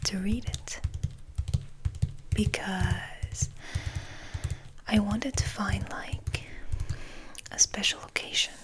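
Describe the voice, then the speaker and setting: A woman whispering softly and slowly as an ASMR host, in a hushed manner with gentle pauses. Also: medium pitch, fairly even intonation. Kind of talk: whispered, soft-spoken ASMR. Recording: noticeable background noise.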